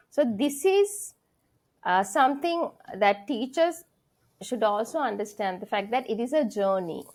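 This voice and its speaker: A middle-aged woman from Sri Lanka with a Sri Lankan accent, a senior English lecturer being interviewed, talking earnestly and thinking aloud. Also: a little high. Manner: earnest, thinking aloud with frequent fillers and restarts. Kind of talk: conversational interview.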